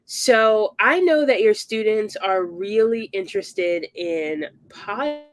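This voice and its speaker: A man's voice: high-pitched, expressive, slow, fairly bright tone. A man hosting an education podcast, asking questions and framing ideas in fluent, easygoing sentences. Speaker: podcast host. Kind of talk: casual interview conversation.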